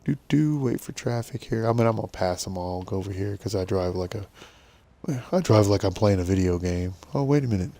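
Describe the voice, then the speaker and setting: A middle-aged man with a rough smoker's voice, giving easygoing, rambling commentary to viewers while playing a farming game. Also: lowish voice, moderate pace, dark tone. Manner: rambling, easygoing, self-deprecating. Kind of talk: casual gameplay commentary to viewers.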